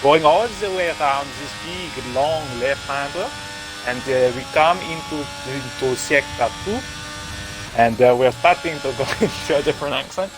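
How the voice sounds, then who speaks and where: A man's voice: fairly high pitch, moderate pace, noisy background. A man co-commentating on an online racing broadcast, joking, laughing often and filling gaps with uh. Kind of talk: sim racing commentary, casual banter.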